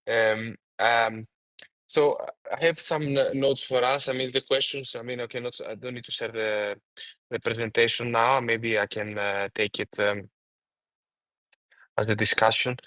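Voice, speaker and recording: Man giving halting webinar advice, dry sound. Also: medium pitch, fairly even intonation, bright, forceful tone, no room sound, low-quality recording. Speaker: webinar speaker and mentor.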